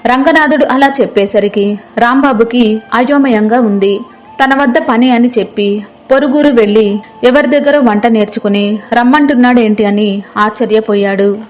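A woman's voice: a little high, normal speed. A woman reading a scripted moral folk tale as narrator. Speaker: story narrator.

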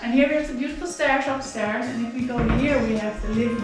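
A woman's voice: on the high side, fast talker. A woman in her thirties chatting upbeat to a handheld camera in a wooden holiday house. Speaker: vlogger. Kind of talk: casual vlog chat. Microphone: action camera held at arm's length. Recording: loud background music. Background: music playing behind.

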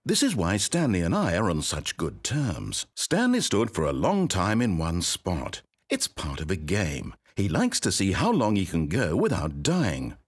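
An actor playing a pompous, needy all-knowing video game storyteller, with a British accent and an articulate, wry, melodramatic delivery. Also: male voice, low-pitched, huge pitch swings, unhurried, hardly any pauses, husky, full character voice. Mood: wry, sardonic. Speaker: game narrator. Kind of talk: theatrical scripted narration.

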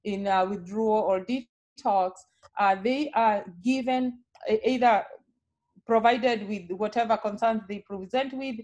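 Kenyan-accented woman, nurse educator explaining a care model to colleagues on a video call, mid-pitched, warm and patient. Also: a little slow, muffled recording. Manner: friendly, explanatory, with frequent filler sounds. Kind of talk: webinar presentation.

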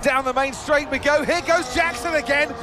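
An actor playing an excited lead motor race commentator, dramatic and projected, in live sports style. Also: male voice, very high-pitched, moderate pace. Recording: music behind the commentary.

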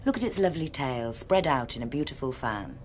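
A woman playing a brisk, coolly authoritative research doctor in a radio drama, speaking with clinical precision. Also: a bass voice, lively intonation, slight background noise. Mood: testing, controlled.

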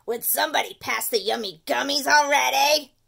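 A woman voicing a greedy, wisecracking male sweet tooth in a storybook, loud and pushy. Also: sits high, normal speed, gravelly, husky, heavily acted.

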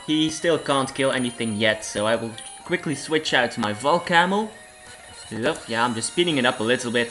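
Hoarse young man with a cold. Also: medium pitch, pushed and bright. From Belgium. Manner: rambling, self-deprecating, laughs often. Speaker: let's play host. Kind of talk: casual game commentary.

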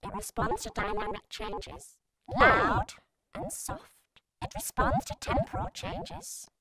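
A woman reading a scripted test sample in short deliberate statements, processed through a robotic vocoder or ring modulator effect. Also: fairly high pitch, wildly swooping pitch, bright, forceful tone, a little hiss or hum.

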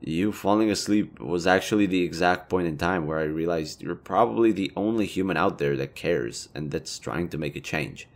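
Man acting as low-voiced, awkwardly flirty elven leader. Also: moderate pace, hardly any pauses. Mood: sheepish, apologetic. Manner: conversational, hesitant, rambling, casually profane. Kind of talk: intimate roleplay audio drama.

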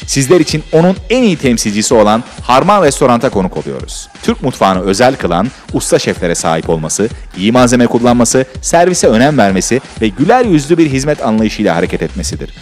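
A man's voice: medium pitch, sing-song, quick pace, hardly any pauses. A man narrating a scripted TV voice-over.

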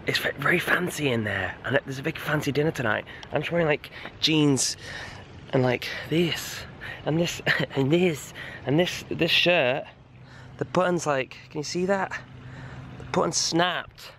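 A young man talking casually to a handheld camera, chatty and animated with lots of filler words. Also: fairly high pitch, a little slow, roomy sound, slight background noise. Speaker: vlogger and stage actor. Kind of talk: casual vlog chat. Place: hotel room and corridor indoors, garden outdoors. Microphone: camera held at arm's length.

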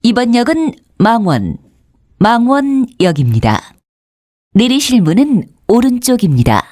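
A woman's voice: fairly high pitch, sing-song, unhurried, warm tone, no echo, studio quality. A woman reading scripted station announcements as a subway announcer.

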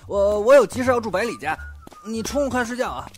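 An actor playing a flustered, excitable, blustering young man in a Chinese audio drama, swinging from muttering to shouting. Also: very high voice, quick pace, music in the background. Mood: panicked.

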